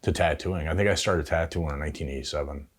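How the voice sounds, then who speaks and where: A Canadian man in his fifties telling stories casually as an interview guest, in a halting, thoughtful way. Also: very deep voice, steady tone, husky. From Ontario, Canada. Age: 50s. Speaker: veteran tattoo artist, interview guest. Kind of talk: casual reminiscing, storytelling.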